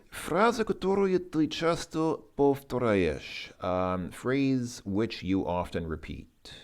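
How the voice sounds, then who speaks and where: A man giving an informal language lesson, reading and translating aloud in a halting way as he thinks through each word. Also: deep voice, a little mellow, smooth. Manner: halting, thinking aloud, sounding out words slowly. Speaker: host working through a Russian lesson as a learner.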